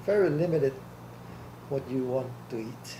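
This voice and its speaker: A man's voice: mid-range voice, a little slow, dark tone. An older man from Hawaii, answering questions in an oral history interview, blunt and plainspoken, laughing easily. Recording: echoey room with faint hiss.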